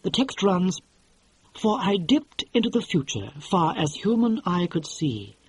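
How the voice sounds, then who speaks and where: A man reading an audiobook and voicing a prim, formal male assistant who speaks with quiet precision. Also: high voice, sing-song, measured pace, slightly rough, voice pushed up, full character voice. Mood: polite, dry. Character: polite, prim, dry-witted male assistant. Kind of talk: audiobook character voice.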